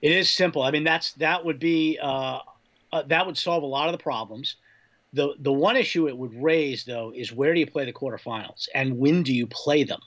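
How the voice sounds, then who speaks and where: American guy sportswriter being interviewed, highish voice, bit dull lo-fi audio, thinks points through. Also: fairly bright tone. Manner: measured, reasoning through points. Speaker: sportswriter, podcast guest. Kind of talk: conversational sports analysis in an interview.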